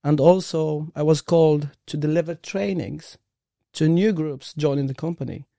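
A man's voice: a little high, fairly even intonation, moderate pace, gravelly, dark tone, no room sound, clean studio sound. A man with a Moroccan accent and Arabic as his first language, telling his own story casually and earnestly in an interview, peppered with "like" and "you know". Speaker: interview guest, performance coach.

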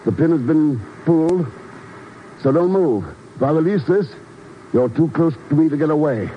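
An actor playing a cool, commanding secret agent in a 1950s radio drama, dry and clipped in manner. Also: male voice, on the high side, expressive, moderate pace, close to an everyday voice. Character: cool, commanding middle-aged male secret agent hero. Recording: very noisy, dull old radio recording.

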